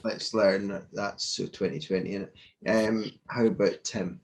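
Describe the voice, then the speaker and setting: A man introducing himself in a workshop, relaxed and hesitant with many ums. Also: on the low side, unhurried. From England, UK. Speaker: workshop participant. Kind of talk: casual self-introduction. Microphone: video call.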